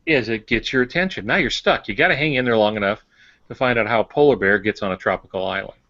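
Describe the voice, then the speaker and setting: Male podcast panelist, lowish voice, halting explainer with dry wit, dry lo-fi online audio. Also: fairly bright tone, dry recording. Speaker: fiction writer, podcast panelist. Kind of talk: casual talk on writing craft.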